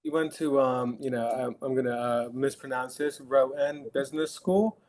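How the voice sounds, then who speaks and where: A man's voice: mid-range voice, normal speed, a little mellow. A man hosting a podcast, asking questions in a friendly, hesitant way with many fillers. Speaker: podcast host. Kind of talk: casual interview questions.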